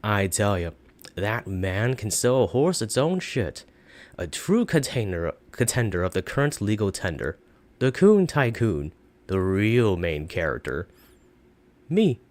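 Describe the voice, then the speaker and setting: A man voicing a sly, boastful pawn shop swindler with theatrical, flattering salesman patter in a storybook reading. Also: on the low side, measured pace.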